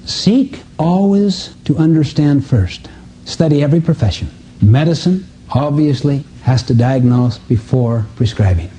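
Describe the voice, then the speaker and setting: Dark-voiced man lecturing at a seminar. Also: on the high side, expressive, a little slow, slight background noise. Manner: emphatic, rhetorical questions and repetition. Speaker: seminar speaker. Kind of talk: motivational seminar lecture to a live audience.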